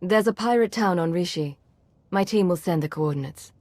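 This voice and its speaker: A cool female secret agent voiced by an actress for a sci-fi game. Her voice sits fairly low, and she speaks in a measured, self-assured, matter-of-fact way. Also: a little mellow, no room sound, natural voice. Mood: composed, wry. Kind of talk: dramatic video game acting.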